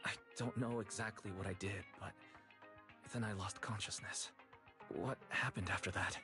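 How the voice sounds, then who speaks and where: A man's voice: on the low side, fairly even intonation, moderate pace, close to an everyday voice. A man voicing a caring, modest young hero in a video game, speaking earnestly. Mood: earnest, reassuring. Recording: game music behind.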